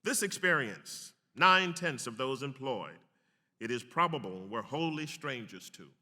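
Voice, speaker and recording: A man's voice: mid-range voice, lively intonation, a little slow, pushed and bright. A man giving a formal, emphatic dramatic reading of a historic account. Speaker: actor reading aloud. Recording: long hall reverb.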